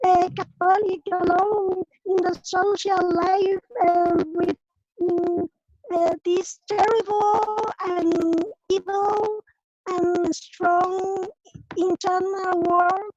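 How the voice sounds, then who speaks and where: A woman's voice: very high-pitched, flat delivery, slow, a little mellow, dry recording. A woman speaking English as a second language, hesitantly giving her opinion on a video call and searching for words. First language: not English. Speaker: book club participant. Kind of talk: halting discussion in a second language. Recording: dull video call audio.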